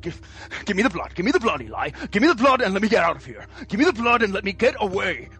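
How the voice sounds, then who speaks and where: A man playing a desperate, cornered father in a parody sketch, raspy and strained as he is pushed to confess. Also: high-pitched, sing-song, quick pace, pushed and bright, soft background music, full character voice. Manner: strained, stammering, pleading. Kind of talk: movie-parody sketch acting.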